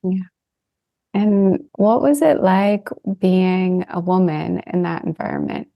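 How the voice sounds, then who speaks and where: A soft-voiced woman hosting a podcast, asking questions and relating them to her own experience. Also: lowish voice, sing-song, takes it slowly, creaky, no room sound, dull audio. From California. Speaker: podcast host. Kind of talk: conversational interview.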